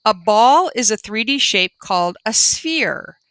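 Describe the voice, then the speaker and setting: Woman teacher doing a read-aloud for little kids, bright crisp voice, really dry sound, explains stuff simply. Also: a little high, expressive, a little slow, no room sound. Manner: simple, instructive explanations for young children. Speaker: primary school teacher. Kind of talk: reading a children's book aloud with explanatory asides.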